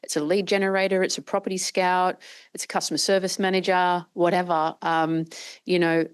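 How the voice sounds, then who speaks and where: A woman with a croaky voice, giving a long-winded panel answer full of restarts and fillers. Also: fairly low pitch, little pitch movement, brisk. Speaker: panelist, company founder.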